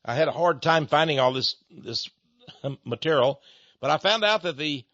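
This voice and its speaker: A man's voice: a little high, animated, normal speed, a bit bright, no room sound, lo-fi sound. A man hosting a radio talk show, delivering a rambling, sarcastic monologue straight to his listeners. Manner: sarcastic, mocking; rambling, addresses listeners as folks. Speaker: radio host.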